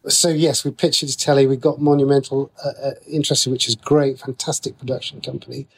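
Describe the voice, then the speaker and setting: A man speaking as an interview guest, a writer explaining his creative work in a conversational, halting way, often rephrasing as he goes. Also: medium pitch, little pitch movement, creaky, breathy edge.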